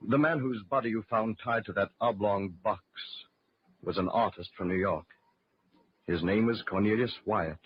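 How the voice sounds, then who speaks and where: An actor playing an earnest, curious gentleman narrator with formal phrasing in an old-time radio drama. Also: on the low side, breathy edge, dry recording. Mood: troubled recounting. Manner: formal, measured storytelling phrasing. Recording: muffled old radio recording, faint noise.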